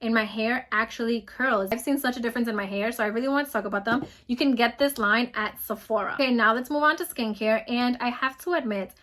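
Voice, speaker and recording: A woman in her twenties with a New York accent talks fast and emphatically, bubbling through her favorite products. Her voice sits a little high. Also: fairly bright tone. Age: 20s. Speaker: beauty vlogger. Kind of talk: casual product review vlog.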